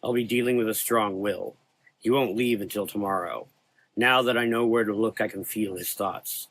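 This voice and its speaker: A man playing a frail, weary old monster hunter in a gothic audio drama, solemn, restrained and quietly menacing. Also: lowish voice, steady tone, moderate pace, rough recording. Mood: grim.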